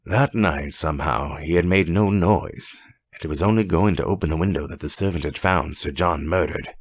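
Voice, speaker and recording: A man narrating a horror story as a dramatic audiobook, with a measured, suspenseful, theatrical delivery. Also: very deep voice, slightly rough, dry recording.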